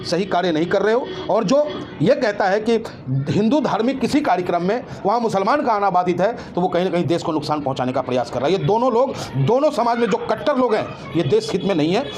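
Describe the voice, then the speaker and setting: A man's voice: high-pitched, animated, quick pace, over music. A man giving impassioned opinions as an interview guest, emphatic and indignant, full of rhetorical questions.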